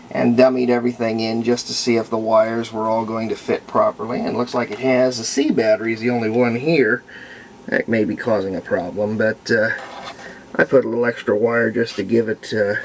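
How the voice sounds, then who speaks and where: A man giving a casual, unscripted hands-on demonstration as a hobbyist restorer, thinking aloud in an unhurried way. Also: mid-range voice, gravelly, a little hiss or hum, low-quality recording. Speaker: hobbyist restorer of antique radios, presenter.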